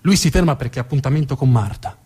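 A man's voice: medium pitch, quick pace, warm tone, slightly rough. A man performing a narrative theatre monologue, emphatic and theatrical, repeating words for effect. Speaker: storytelling stage actor.

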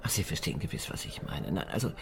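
An actress playing a worldly, poised middle-aged madame in a radio drama, formal and cool, her phrases trailing off low. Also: sing-song, quick pace, gravelly, heavily acted. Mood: reserved, reluctant. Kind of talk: German radio drama acting.

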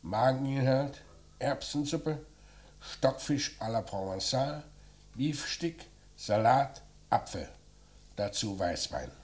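An actor playing a dry, probing police commissioner in a German radio crime play, questioning in a terse deadpan way. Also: male voice, mid-range voice, takes it slowly. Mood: businesslike, wry. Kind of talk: mid-century German radio play acting. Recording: noisy vintage radio drama recording.

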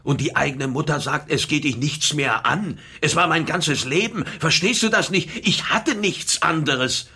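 An actor playing a bitter, insecure middle-aged former general, a wounded son, in a naturalistic radio drama. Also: male voice, on the high side, measured pace, hardly any pauses, bright, forceful tone, breathy edge, full character voice. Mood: anguished, accusing.

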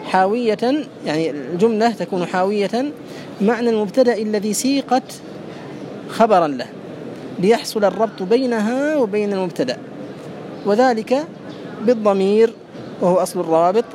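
A man's voice: high-pitched, background noise. A man teaching a classical grammar lesson, methodically repeating and parsing each phrase for his students.

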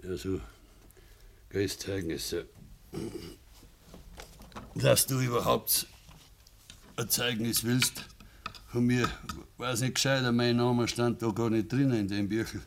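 An actor playing a stern, embittered farmer and father in a Bavarian dialect radio play, gruff and deliberate. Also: medium pitch, slight background noise. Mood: heavy, resigned.

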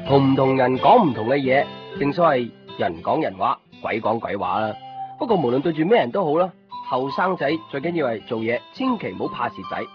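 A man's voice: medium pitch, big pitch swings, a little fast, natural voice. An actor playing an obliging, easygoing copy-shop man in a 1990s radio drama, with a Hong Kong Cantonese accent. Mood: reflective. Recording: muffled radio recording with music behind.

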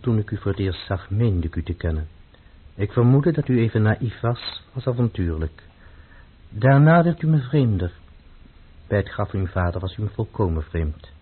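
An actor playing a stern, devout, grave poet in a radio play, speaking in a measured, formal way. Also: male voice, on the low side, breathy edge. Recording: muffled, phone-like old radio recording.

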